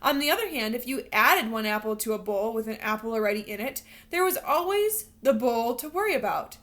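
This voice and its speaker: Female teacher for children's read-aloud: high, bright voice, expressive storytelling with guiding questions. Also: a little fast. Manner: expressive, instructional, addressing young children. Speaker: teacher reading a picture book to children.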